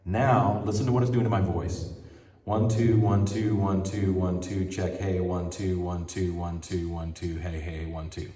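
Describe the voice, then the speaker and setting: A man's voice: deep voice, little pitch movement, unhurried, warm tone. Man teaching at a sound desk. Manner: casual, explanatory, speaking into a handheld mic while adjusting a mixing console. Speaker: audio trainer. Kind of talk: hands-on sound desk tutorial. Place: church sound booth at a mixing console. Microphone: handheld microphone.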